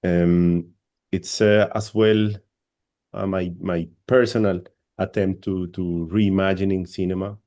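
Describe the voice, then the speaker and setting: A man's voice: deep voice, level tone, takes it slowly, warm tone. A man from Colombia, an English speaker, giving an academic panel presentation over a video call, hesitant and repeating words often.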